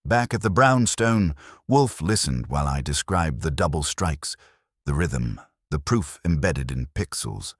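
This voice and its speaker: A man narrates a first-person detective story from a script. His voice is very deep with a husky grain, and his pitch moves expressively through the sentences. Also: animated, measured pace, no room sound, studio quality. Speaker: audiobook narrator.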